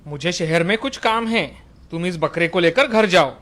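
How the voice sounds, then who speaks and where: A man's voice: high voice, normal speed, a bit bright. A man playing an opportunistic village butcher in a cartoon, obliging on the surface but greedy, with exaggerated delivery. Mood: scheming.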